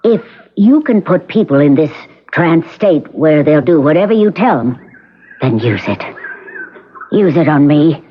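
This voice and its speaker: An actress in an old radio drama playing a bitter, demanding wife in a wheelchair, insistent and sardonic. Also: female voice, deep voice, animated, unhurried, husky, exaggerated voice. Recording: muffled old radio broadcast, noisy.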